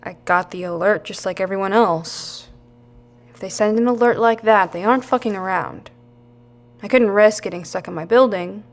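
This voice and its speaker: A woman's voice: mid-range voice, close to an everyday voice. Woman acting blunt, tough, sardonic survivor. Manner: blunt, dry, sarcastic. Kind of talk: audio drama acting.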